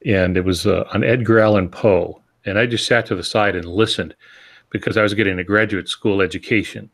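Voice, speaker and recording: Low-pitched man chatting on a podcast. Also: fairly even intonation, brisk, fairly bright tone. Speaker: podcast co-host. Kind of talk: casual conversational podcast talk.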